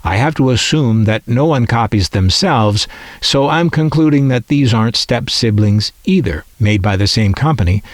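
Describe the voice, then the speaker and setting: Low-voiced man with lively intonation. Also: no echo. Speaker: radio collector presenting their collection. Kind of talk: scripted narration.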